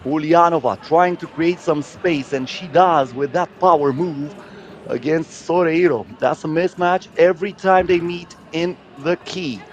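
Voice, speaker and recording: A man's voice: fairly high pitch, a little slow. A man giving live play-by-play commentary on a basketball game as a sports commentator.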